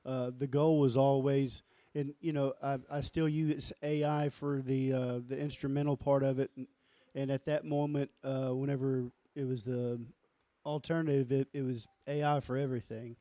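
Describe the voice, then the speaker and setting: A man with a lower voice talking casually as an interview guest, halting and often repeating himself. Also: little pitch movement, mellow, no room sound. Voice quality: lower register voice. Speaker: musician and label founder guest.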